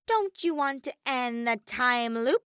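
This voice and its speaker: A woman's voice: sits high, lively intonation, takes it slowly, a bit bright, smooth, no echo, clean studio sound, pitched above the performer's own voice, exaggerated voice. A woman voicing a bratty, exasperated male robotic teddy bear villain in a fan fiction audio reading, theatrical and whiny. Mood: demanding. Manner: theatrical, whiny, griping outbursts.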